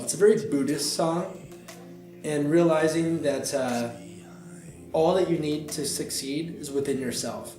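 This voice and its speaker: Young man musing in an echoey studio. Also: fairly high pitch. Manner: relaxed, reflective, with many fillers. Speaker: recording artist. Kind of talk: casual studio talk about his album. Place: recording studio. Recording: echoey studio, mic a bit distant.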